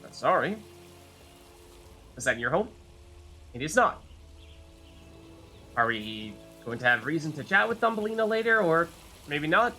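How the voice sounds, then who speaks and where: A man's voice: on the high side, expressive, a little slow, long pauses, pushed and bright, soft background music. A man giving casual live commentary on a video game, chatting and thinking aloud as he plays. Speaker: game streamer, host.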